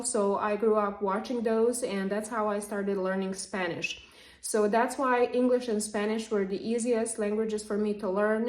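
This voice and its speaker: A young woman from Serbia, a native Serbian speaker, explaining language learning to camera in a lively, chatty way. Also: fairly high pitch. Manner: lively, explanatory, with frequent fillers. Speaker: language vlogger. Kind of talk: casual talk to camera. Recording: echoey room.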